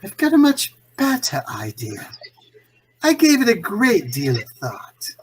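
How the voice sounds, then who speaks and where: A man playing a suave, pompous escaped-convict crime boss in a 1940s radio drama, drawling with mock courtesy in a husky voice. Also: sits high, huge pitch swings, a little slow, full character voice. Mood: mocking, menacing. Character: suave, theatrical, gloating male escaped-convict villain and gang boss.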